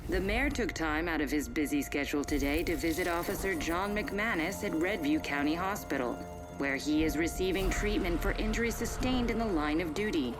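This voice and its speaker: An actress playing a formal, serious television news anchor, reading measured reports in a video game. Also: female voice, fairly low pitch, natural voice. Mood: grave, formal. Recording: loud music behind.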